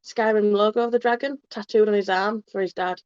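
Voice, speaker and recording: A woman's voice: mid-range voice, steady tone, a little fast, darkish tone, smooth, no room sound. A woman chatting frankly and easily as a podcast guest. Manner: frank, easygoing. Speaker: guest co-host. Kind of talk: casual chat. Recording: dull lo-fi sound.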